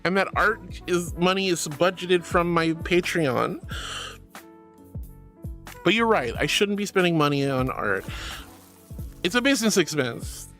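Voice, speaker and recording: A woman's voice: on the low side, lively intonation, unhurried, pauses often, fairly bright tone, faint music behind. A woman streamer chatting casually with her viewers, rambling and giggly, often thanking them. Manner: rambling, giggly, talks straight to chat. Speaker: VTuber streamer. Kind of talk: casual livestream chat.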